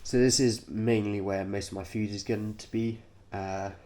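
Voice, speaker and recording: A man with a nasal voice, congested from a cold, talking through his cycling kit informally and haltingly, in short offhand phrases. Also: fairly low pitch, steady tone, mellow, slight background noise. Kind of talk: informal vlog, kit walkthrough.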